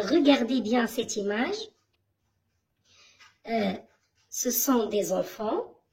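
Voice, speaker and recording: Slow, lively woman teaching children. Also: medium pitch, gravelly. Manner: slow, questioning, didactic. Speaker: primary school teacher. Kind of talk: reading lesson for children.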